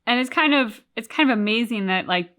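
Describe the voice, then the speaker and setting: Bright-voiced woman musing over game story. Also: fairly high pitch, animated, brisk. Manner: thoughtful, analytical, with frequent "like" fillers. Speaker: co-host of a game reaction channel. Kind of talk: casual discussion reacting to game cutscenes.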